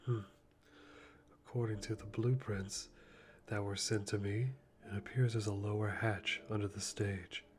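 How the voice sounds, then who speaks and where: A man playing a calm, methodical hitman, musing to himself softly and deliberately with dry amusement in an ASMR roleplay. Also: on the low side, lightly acted. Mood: calm, calculating. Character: calm, methodical male hitman planning a kill. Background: music.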